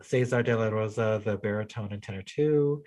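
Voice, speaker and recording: A man's voice: on the low side, normal speed, darkish tone. A young adult man co-hosting a casual podcast, chatty and enthusiastic, often laughing and restarting his sentences. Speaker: podcast co-host. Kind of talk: casual chat.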